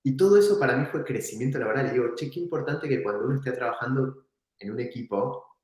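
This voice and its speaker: A man's voice: mid-range voice, quick pace. Argentine-sounding man in a very echoey room. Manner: relaxed, reflective, laughs now and then. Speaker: entrepreneur guest. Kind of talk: casual storytelling in an interview.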